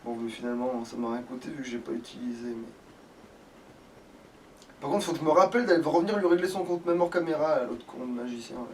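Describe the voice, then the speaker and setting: A man's voice: mid-range voice, fast talker, darkish tone. Sweary male gamer, distant noisy mic. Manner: grumbling, sweary. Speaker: let's play gamer. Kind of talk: casual gameplay commentary.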